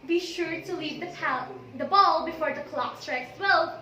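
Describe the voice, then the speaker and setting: A woman's voice: extremely high pitch, a little slow, bright, forceful tone, full character voice. A woman playing a kindly, scatterbrained fairy godmother in an amateur readers' theater, sweetly fussy and apologetic. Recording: distant, echoey room, faint noise.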